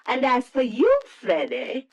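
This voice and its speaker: An actress in an old-time radio drama playing a bossy, chattering professor's wife, imperious and theatrically emphatic. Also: female voice, high-pitched, takes it slowly, a little mellow, slightly rough, heavily acted, poor audio. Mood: sociable, domineering.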